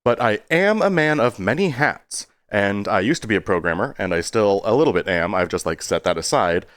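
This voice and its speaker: A man's voice: on the low side, lively intonation, brisk, fairly bright tone, dry recording. A man giving a casual, rambling video update in a self-deprecating way, stopping and starting and slipping into exaggerated tones.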